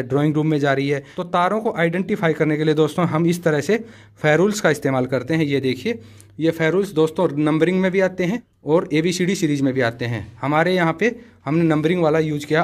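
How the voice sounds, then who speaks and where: A man's voice: a little high, brisk. A man giving a practical how-to explanation as a tutorial host, in an instructive, methodical way, addressing viewers as friends.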